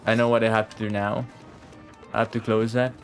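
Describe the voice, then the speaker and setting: A man's voice: fairly low pitch, level tone, a little fast. A man giving casual gaming commentary as he streams a video game. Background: video game audio and music.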